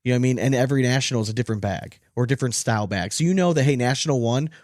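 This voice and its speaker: A man with a middling-pitched voice chats as co-host of a sports podcast. He is emphatic and opinionated, with casual swearing. The sound is dry and close. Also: very fast, darkish tone, no echo.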